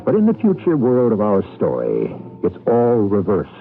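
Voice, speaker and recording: A man hosting a radio drama with deliberate, theatrical scripted narration. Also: fairly low pitch, wildly swooping pitch, creaky. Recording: narrowband 1980s radio with music.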